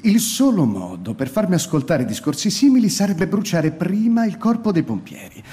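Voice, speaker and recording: A weary old scholar. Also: male voice, very animated, dark tone. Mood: wary. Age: older. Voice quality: measured, turns shrill in alarm. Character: weary old male scholar, bitter and frightened. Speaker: actor in a radio play. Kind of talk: radio drama acting.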